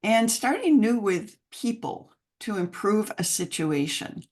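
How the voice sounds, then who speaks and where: An older American woman, a mother and grandmother, speaking as a guest on a podcast interview in an enthusiastic, expressive way with frequent laughter. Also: medium pitch, a little slow. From United States. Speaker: guest, mentoring program founder.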